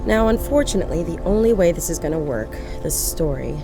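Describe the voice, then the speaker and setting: A woman's voice: on the low side, animated, fairly quick. A woman playing a troubled lone driver at night, narrating an audio drama in a confiding, wry, self-questioning way. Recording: music under the voice.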